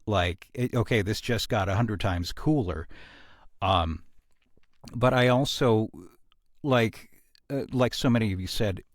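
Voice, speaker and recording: Man, lowish voice, earnest and reflective, halting phrasing, casual podcast discussion, close dry sound. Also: dry recording. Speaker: podcast host and series creator. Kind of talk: casual behind-the-scenes discussion.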